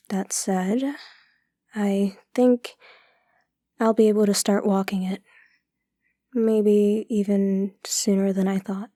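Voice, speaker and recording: Audio drama role: actress as guarded, sharp-tongued woman wronged by her ex, curt and sardonic, soft-edged tone, close dry sound. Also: mid-range voice, dry recording, lightly acted.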